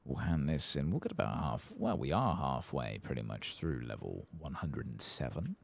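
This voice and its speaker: Very deep, creaky soft-spoken man. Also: big pitch swings, no room sound. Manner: musing to himself, hesitant, unhurried asides. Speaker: ASMR gaming streamer narrating his play. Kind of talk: soft-spoken ASMR game commentary, reading quest text aloud.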